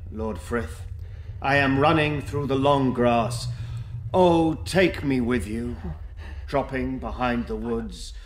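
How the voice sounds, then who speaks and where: A man's voice: medium pitch, natural voice. A man playing a dreamy male rabbit poet, reciting verse in a solemn, reverent radio-drama style. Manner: slow, reverent, incantatory.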